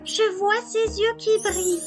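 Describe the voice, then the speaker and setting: A woman's voice: extremely high pitch, fairly even intonation, unhurried, over music. A woman playing a timid three-year-old girl telling her story simply in a children's storybook. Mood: timid, confiding.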